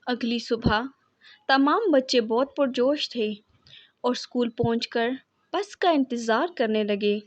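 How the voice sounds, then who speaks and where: High-voiced woman narrating a children's story. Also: measured pace, no echo, dull audio.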